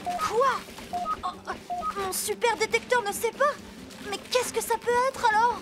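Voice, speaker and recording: Very high, girlish cartoon rescue helicopter. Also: unhurried. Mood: eager, curious. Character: plucky young female rescue helicopter, eager and girlish. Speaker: voice actor. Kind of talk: French children's cartoon dub acting. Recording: loud music behind the voice.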